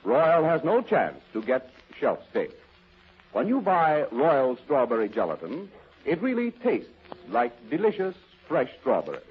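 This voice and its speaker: A man's voice: mid-range voice, slightly rough, lo-fi sound. A man announcing formally in the style of 1930s American radio, on an old broadcast recording.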